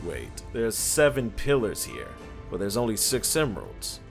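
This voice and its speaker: A man voicing a suspicious, hot-tempered young echidna guardian with confrontational, skeptical delivery in an animated fan dub. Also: lowish voice, measured pace, gravelly, soft background music, heavily acted.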